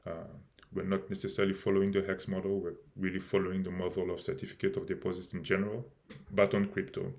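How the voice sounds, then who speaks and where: Low gravelly guy hosting a live stream, lots of uhs and restarts, losing his voice some. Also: flat delivery, darkish tone. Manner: halting, many fillers and restarts. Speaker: crypto project host.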